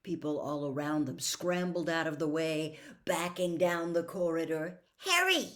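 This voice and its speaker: A woman narrating a story as a dramatic audiobook reader, expressive and deliberate. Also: sits low.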